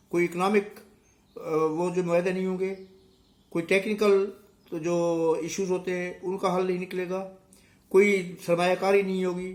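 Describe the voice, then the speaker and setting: A middle-aged Pakistani man with a sore throat, giving emphatic, unscripted political commentary straight to his viewers with repetition for effect. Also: high-pitched. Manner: emphatic, rhetorical questions and repetition.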